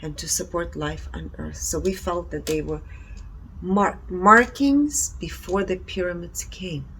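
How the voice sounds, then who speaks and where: A woman's voice: fairly low pitch, measured pace, pushed and bright, slight background noise, low-quality recording. A woman presenting findings and personal commentary in short, emphatic, earnest phrases. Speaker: presenter reporting energy readings.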